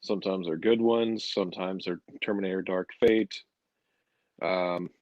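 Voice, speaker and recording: Man putting on a dull-sounding, low-voiced deadpan villain scientist. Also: measured pace, dry recording. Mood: dry, deadpan. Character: Doctor Hugo Strange, male comic-book villain scientist as a podcast guest. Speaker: guest columnist. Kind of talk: in-character guest plug on a livestream.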